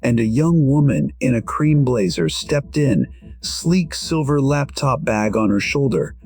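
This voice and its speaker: A man with a husky voice narrating a scripted first-person story in a measured, reflective manner. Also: mid-range voice, animated, darkish tone.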